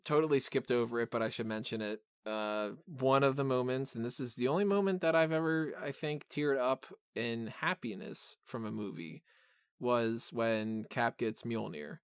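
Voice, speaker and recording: A man's voice: medium pitch, normal speed, clean tone, no room sound, clean studio sound. A man hosting a podcast and talking casually about films in a rambling, informal, mildly profane fan style. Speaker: podcast host reviewing films. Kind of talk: casual film discussion.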